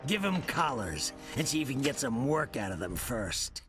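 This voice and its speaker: An actor playing a callous, commanding villain boss in a video game, speaking in curt orders. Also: male voice, medium pitch, very animated, moderate pace, lightly acted. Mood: cold, pleased. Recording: loud music behind the voice.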